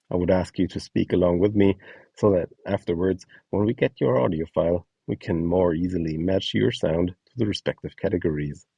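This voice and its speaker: Deep, mellow male explainer. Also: vocal fry, husky, dry recording, recorded from a distance, highs cut off. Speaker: host and instructor of a voice donation project. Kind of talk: scripted explainer narration.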